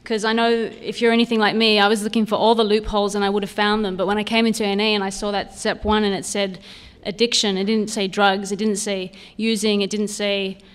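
A woman's voice: medium pitch, flat delivery, a little fast, hardly any pauses, clean tone. A woman in her thirties with an Australian accent, slightly mixed with Canadian, gives an informal personal talk to an audience in a candid, lightly humorous, conversational way. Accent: Australian with a hint of Canadian. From Sydney, Australia. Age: 30s. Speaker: guest speaker at a recovery convention.

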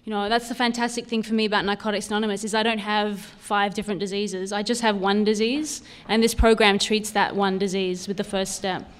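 A woman's voice: medium pitch, level tone, a little fast, few pauses. A woman in her thirties with an Australian accent, slightly mixed with Canadian, gives an informal personal talk to an audience in a candid, lightly humorous, conversational way. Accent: Australian with a hint of Canadian. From Sydney, Australia. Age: 30s. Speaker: guest speaker at a recovery convention.

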